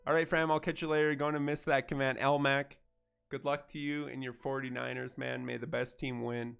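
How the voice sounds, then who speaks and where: A man chats casually through a gameplay livestream. His stuffed-up head cold leaves him nasal, with a gravelly rasp in his tone. He sounds easygoing and friendly, and faint music plays behind him. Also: mid-range voice, little pitch movement, moderate pace. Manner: easygoing, chatty, thanks viewers warmly. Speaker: streamer.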